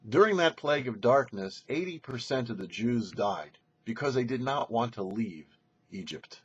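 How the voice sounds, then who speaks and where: A man with a husky voice giving a scripted religious commentary as a rabbi, in a teacherly manner of posing questions and explaining them. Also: medium pitch, highs cut off. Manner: didactic, poses questions then answers them. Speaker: rabbi hosting a weekly Torah show.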